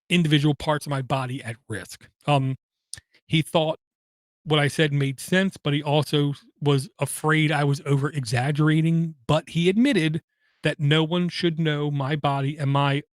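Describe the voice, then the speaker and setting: Halting man with a slight stutter. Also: on the high side, no room sound, clean studio sound. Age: adult, has kids. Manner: halting, frequent ums and uhs, slight stutter. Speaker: podcast host. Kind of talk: personal monologue.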